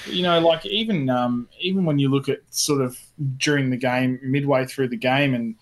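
Male podcast co-host, medium pitch, informal, enthusiastic, conversational. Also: normal speed, very noisy. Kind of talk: casual gaming discussion.

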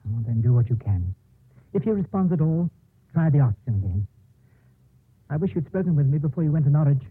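Husky earnest doctor, old radio. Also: male voice, fairly high pitch, sing-song, a little fast, no echo, full character voice. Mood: weary, concerned. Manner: earnest, explanatory. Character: weary, well-meaning, matter-of-fact hospital doctor man. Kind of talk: 1950s radio acting. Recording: tinny old radio broadcast.

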